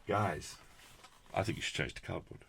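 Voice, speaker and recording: A man's voice: sits low, moderate pace, creaky, sharp tone, slight background noise. A British man presenting a casual hands-on product review in a chatty, jokey, effusive way with quaint turns of phrase. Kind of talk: casual hands-on unboxing commentary.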